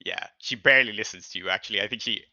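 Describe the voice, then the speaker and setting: A man's voice: on the low side, racing, gravelly, bright, forceful tone, dry recording, dull audio. A man improvising narration as the game master of a tabletop role-playing game.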